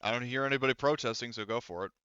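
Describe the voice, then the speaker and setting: A man's voice: mid-range voice, rapid-fire, bright, forceful tone, clear, resonant tone, dry recording, lo-fi sound. A man casually narrating and refereeing a tabletop roleplaying game as game master, with some swearing.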